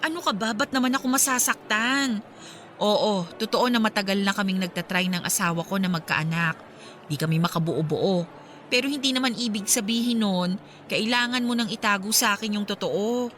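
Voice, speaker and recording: An actress playing a candid, supportive young wife who longs for a child, in an expressive Filipino radio drama. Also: female voice, mid-range voice, normal speed, slight background noise, lightly acted. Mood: sincere, bittersweet.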